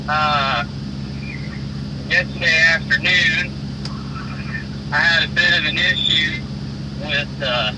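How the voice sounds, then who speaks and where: A young man with a slightly high voice talks on a phone call with loud road noise all around him. He speaks casually as a trucker being interviewed, halting often as he works through his account. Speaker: rookie truck driver, interviewee. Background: vehicle noise.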